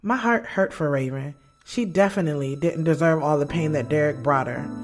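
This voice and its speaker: A woman's voice: deep voice, normal speed, lightly acted. An actress playing a candid, loyal woman who narrates her own story in an audio drama, in a conversational, slangy and frank way. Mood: reflective. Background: music.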